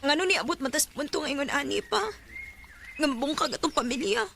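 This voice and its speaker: A woman's voice: sits high, expressive, fairly quick, vocal fry, pushed and bright, slight background noise, exaggerated voice. A woman playing an earnest young daughter in a Bisaya radio drama, with a Cebuano Filipino accent. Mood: worried, pleading. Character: earnest young daughter, female letter sender Honey.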